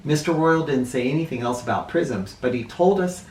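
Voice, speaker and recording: A man reading a children's story aloud as a storyteller, in an animated, theatrical way with drawn-out emphasis. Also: fairly high pitch, a little hiss or hum. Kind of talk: expressive storybook read-aloud. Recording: roomy echo, distant mic.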